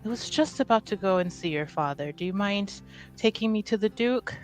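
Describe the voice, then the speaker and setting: A woman's voice: mid-range voice, lively intonation, fairly quick, darkish tone, natural voice. A woman voicing a polite, composed noblewoman coming home to her family. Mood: affectionate.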